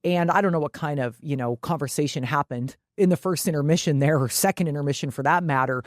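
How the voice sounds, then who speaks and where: A woman's voice: deep voice, fast, no room sound, studio quality. A woman co-hosting a sports podcast, chatting casually in a blunt, emphatic way with frequent swearing.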